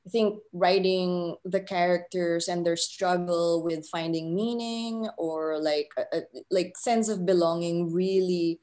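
A woman's voice: on the low side, steady tone, a little slow, few pauses, warm tone. A woman in her thirties from the Philippines, giving casual, reflective interview answers on a video call with a hesitant manner. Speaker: film writer and director, panel guest. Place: living room on a video call. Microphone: laptop mic on video call.

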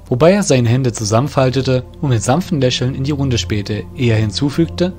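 A male narrator reads a fantasy parody story in a measured, descriptive way. His voice sits in a middle range, with music playing underneath. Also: mid-range voice, darkish tone.

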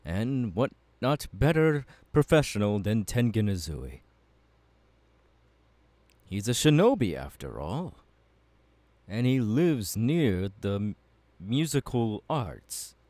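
A man playing a confident, fiery anime swordsman mentor in an ASMR audio roleplay, talking to the listener with measured, dramatic pauses. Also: on the low side, sing-song, a little mellow, no room sound. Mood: welcoming, instructive. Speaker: voice actor.

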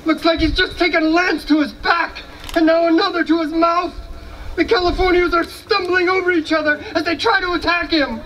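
A man's voice: very high voice, fairly even intonation, fairly quick, pushed and bright. A man playing a proud, folksy, scornful American soldier in a live historical battle dramatization. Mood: alarmed. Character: proud, folksy American soldier boasting about his army. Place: outdoor stone amphitheater, far camera. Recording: distant outdoor recording with noise.

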